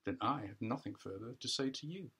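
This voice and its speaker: A man's voice: medium pitch, lively intonation, fairly quick, pushed and bright, husky, pitched below the performer's own voice, heavily acted. A man playing a defiant, aggrieved history teacher on trial in a play, with an earnest, lecturing manner.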